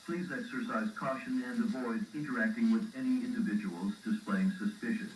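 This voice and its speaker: A man voicing a formal, impersonal emergency broadcast announcer, reading an official alert in a measured, stern way. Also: on the low side, lightly acted. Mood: grave, official. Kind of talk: formal scripted public alert. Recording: roomy, distant, faintly noisy broadcast playback.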